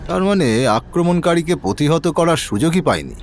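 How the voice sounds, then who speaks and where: Man acting a commanding, higher-voiced police inspector. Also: animated, normal speed, a little hiss or hum. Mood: probing, sceptical. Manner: terse questioning, giving orders. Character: male police inspector in charge, brisk, sceptical, commanding. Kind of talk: detective audio drama acting.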